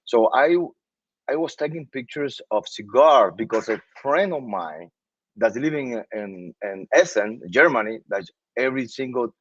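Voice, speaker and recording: Earnest Cuban-accented man, halting speech. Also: medium pitch, expressive, slightly rough, dry recording. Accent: Cuban Spanish. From Cuba. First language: Spanish. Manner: halting, repeats words, earnest. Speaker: interview guest. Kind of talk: casual interview talk. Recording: dull, dry sound.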